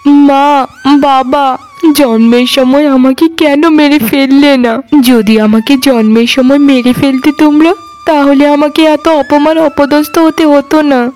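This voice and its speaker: Cartoon orphan girl, played by a woman: high, sorrowful, meek, plaintive delivery with background music. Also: fairly even intonation, takes it slowly, darkish tone. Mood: despairing. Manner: plaintive, pleading. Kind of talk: animated cartoon drama voice. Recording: music under the voice.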